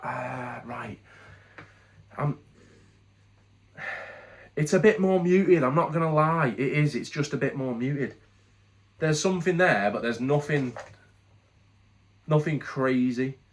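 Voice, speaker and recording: A man's voice: medium pitch, slow pace, pauses often, slight background noise. A British man with a congested head cold, casually reviewing products in a chatty, candid, rambling way with frequent restarts. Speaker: product reviewer, channel host.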